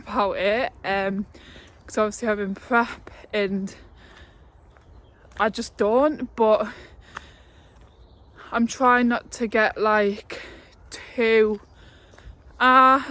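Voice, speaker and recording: A woman's voice: a little high, a bit bright. A twenty-year-old woman, chatting casually in a fitness vlog with fillers and laughs, a little breathless from walking uphill. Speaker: fitness vlogger. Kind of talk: casual vlog talk to camera. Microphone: phone held at arm's length.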